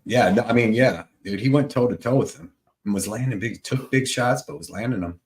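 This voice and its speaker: A man's voice: medium pitch, brisk. A man in his early forties from Ohio, co-hosting a casual live stream in a laid-back way, saying "dude" a lot. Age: 40s. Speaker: co-host.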